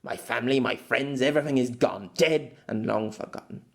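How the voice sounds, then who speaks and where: A man's voice: mid-range voice, a little fast, creaky, close to an everyday voice. A man playing a middle-aged exile in an audio story, bitter, hot-tempered and desperate, stammering and swearing in dramatic outbursts. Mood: bitter, grieving.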